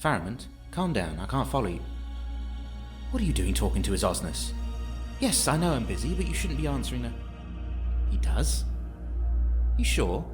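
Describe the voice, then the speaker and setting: A man's voice: mid-range voice, sing-song, normal speed, long pauses, music in the background, natural voice. Man acting an officious, swooping fantasy guardian interrogator. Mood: flustered, grudging. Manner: curt, imperious, sarcastic. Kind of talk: audio drama acting.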